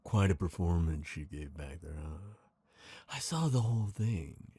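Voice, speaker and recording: A man voicing a smooth, scheming traitor prince, gloating and seductive, in an intimate fantasy ASMR roleplay. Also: deep voice, lively intonation, mellow, heavily acted. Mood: smug, gloating. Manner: slow, intimate, theatrical villain delivery.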